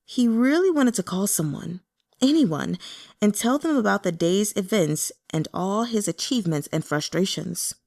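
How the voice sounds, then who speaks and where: A woman's voice: mid-range voice, lively intonation, moderate pace, creaky, a little mellow. A woman narrating a novel as an audiobook reader, in scripted storytelling prose.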